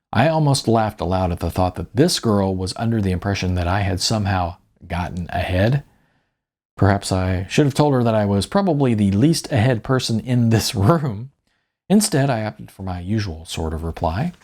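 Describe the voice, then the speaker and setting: Husky man narrating with lively, wry delivery. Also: on the low side. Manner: wry, conversational. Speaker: author reading own novel as audiobook. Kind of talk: first-person audiobook narration with acted dialogue.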